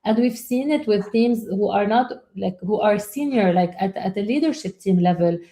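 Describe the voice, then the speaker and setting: A woman co-hosting a webinar, talking casually and haltingly, with frequent restarts and repeated words. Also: mid-range voice, dark tone. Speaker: webinar co-host.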